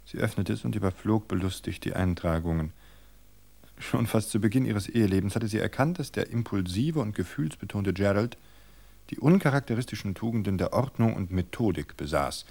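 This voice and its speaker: Calm guy reading a novel aloud, kinda low voice, steady pace. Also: vocal fry. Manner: calm, measured storytelling. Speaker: narrator. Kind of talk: scripted narration of a novel.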